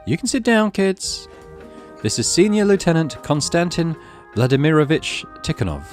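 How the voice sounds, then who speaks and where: A man voicing a stern female schoolteacher in a horror game, brisk and commanding. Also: on the high side, very animated, darkish tone, soft background music, pitched above the performer's own voice. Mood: formal. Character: stern, bossy female schoolteacher calling class to order.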